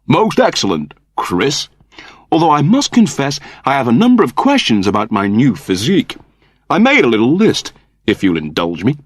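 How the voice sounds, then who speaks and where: A man's voice: medium pitch, huge pitch swings, normal speed, dry recording, studio quality, pitched above the performer's own voice. A man voicing an eager, fussy male android who has just become human, prim, wordy and naively earnest, in an audiobook comedy. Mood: delighted. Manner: prim, wordy, over-polite.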